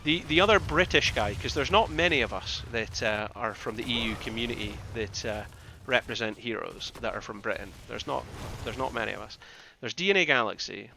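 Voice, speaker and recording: Man, Scottish accent, mid-pitched bright voice, live game casting, casual asides, repeated phrases, slight background noise. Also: brisk, creaky, hardly any pauses. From Scottish Highlands, northeast Scotland. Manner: conversational, rambling, repeats phrases for emphasis. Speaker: esports caster and streamer.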